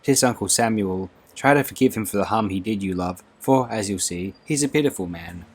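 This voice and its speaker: A man's voice: fairly low pitch, brisk, husky. A man voicing a fussing, devout Orkney woman servant with a lilt, motherly and proper. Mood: tender.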